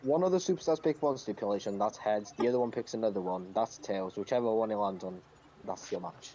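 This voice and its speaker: A man's voice: on the low side, fast talker, mellow. Dull lo-fi recording, casual male co-host. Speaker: podcast co-host. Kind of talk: casual chat. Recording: dull lo-fi voice audio.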